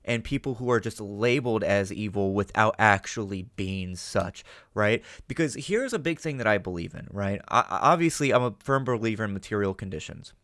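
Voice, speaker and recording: A man's voice: on the low side, animated, brisk, hardly any pauses, fairly bright tone, no room sound, studio quality. A man giving casual, rambling commentary as a reaction host, emphatic, often tagging on "right?" and swearing freely. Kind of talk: casual spoken commentary on an audio drama.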